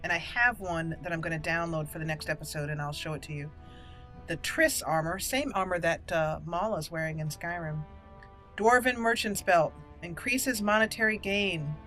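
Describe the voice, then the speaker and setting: A woman's voice: fairly low pitch, moderate pace, fairly bright tone, soft background music. A woman giving casual commentary while she plays and roleplays through a video game. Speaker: gaming channel host playing a roleplay.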